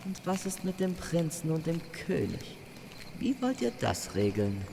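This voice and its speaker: A man's voice: a little high, wildly swooping pitch, measured pace, gravelly, in a large hall, background noise, pitched above the performer's own voice, full character voice. A man voicing a teasing, courtly, light-footed magical woman in a fairy-tale audio drama.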